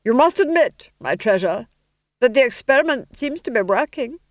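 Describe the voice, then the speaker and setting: Casual: shrill bossy duchess, a woman putting her on, sneering and loud, voice jumping way up high. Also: expressive, normal speed, dry recording, clean studio sound, lightly acted. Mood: imperious. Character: shrill domineering noblewoman, scornful.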